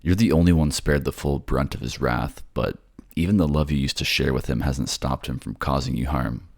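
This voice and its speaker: A man's voice: rumbling low, normal speed, a little mellow. A man reading scripted second-person narration for a fantasy audio drama. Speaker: narrator and voice actor.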